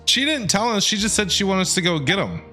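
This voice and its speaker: A woman's voice: medium pitch, sing-song, quick pace, bright, forceful tone. A woman streaming a game with casual, chatty live reactions, talking back to the characters over faint game music.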